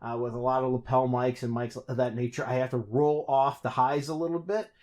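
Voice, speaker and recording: A man's voice: medium pitch, level tone, brisk, a little mellow. A man hosting a casual product review, talking chattily and often correcting himself mid-sentence. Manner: chatty, frequent self-corrections and restarts.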